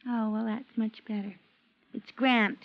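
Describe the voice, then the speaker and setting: A woman's voice: fairly high pitch, steady tone, unhurried. An actress playing a chatty, prim, coquettish woman in an old-time radio drama. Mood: huffy, coy. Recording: muffled vintage radio recording.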